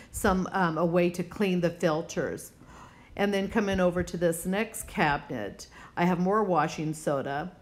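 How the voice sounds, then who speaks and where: A woman hosting a preparedness vlog, talking casually and instructively, plainspoken and speaking straight to the viewer. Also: lowish voice. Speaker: host of a prepping channel.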